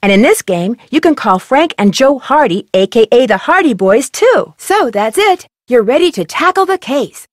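A plucky young girl detective from a video game, inquisitive and friendly, with a fairly high, lively voice. She is recorded close and dry in a studio. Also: moderate pace, few pauses, dry recording. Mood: curious. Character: young female amateur detective, curious and upbeat. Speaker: game protagonist and tutorial guide.

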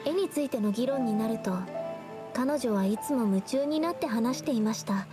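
A woman playing a shy, earnest young woman painter in a Japanese anime game, softly hesitant and polite. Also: fairly high pitch, moderate pace, music in the background, natural voice. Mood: reflective.

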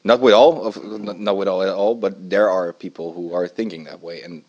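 A man's voice: low voice, steady tone, normal speed, darkish tone, highs cut off. A man, a band member, giving earnest opinions in a backstage interview. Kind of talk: casual interview answers. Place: festival backstage room, indoors.

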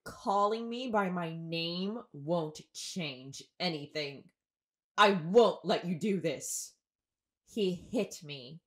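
Shaken determined young woman, lowish voice, talks real slow and grave, audio drama. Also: expressive. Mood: tense, defensive. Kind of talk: audio drama narration and dialogue.

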